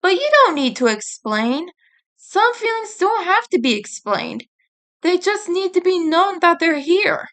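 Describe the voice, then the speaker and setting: A gentle younger turtle brother from a story, played by a woman reader, with a high voice. He is playful and reassuring and takes his words slowly. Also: sing-song, heavily acted.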